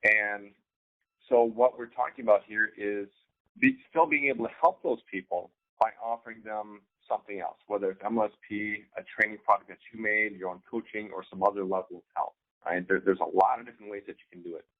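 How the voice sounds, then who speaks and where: A man co-hosting an informal instructional talk as a business coach, speaking haltingly with repeated words and frequent "right?" check-ins. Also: lowish voice. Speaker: co-host, online business coach. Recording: thin, phone-like band-limited sound.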